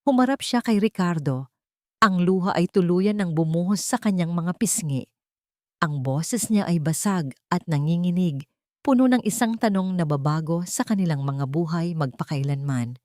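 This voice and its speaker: A woman with a Filipino accent narrates a dramatic audiobook story in measured, expressive short phrases. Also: lowish voice, no room sound, studio quality.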